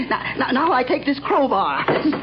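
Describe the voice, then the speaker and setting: A man's voice: a little slow, heavily acted. A man playing a deranged, fearful, childlike bomber with a stammering, giggling delivery in a 1930s radio thriller. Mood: excited, scheming. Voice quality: high-pitched, giggling. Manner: stammering, excitable, repeating words. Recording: muffled, very noisy 1930s radio recording.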